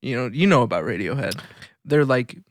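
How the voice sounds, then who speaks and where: A man's voice: medium pitch, expressive, a little fast, no echo, studio quality. A man co-hosting a podcast in casual, slangy chat, enthusiastic about the things he likes. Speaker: podcast co-host. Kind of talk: casual chat between friends.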